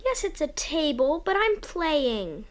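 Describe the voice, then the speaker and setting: A woman's voice: sits high, sing-song, slow pace, heavily acted. A woman playing an eager, imaginative young boy in a radio play, earnest and full of questions. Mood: playful.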